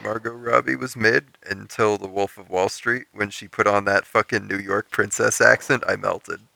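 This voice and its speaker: A young man with a lowish, gravelly voice and a very sharp, cutting edge chats casually. He jokes irreverently in loose slang as a podcast co-host. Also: fairly even intonation, normal speed, no room sound, poor audio. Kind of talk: casual chat.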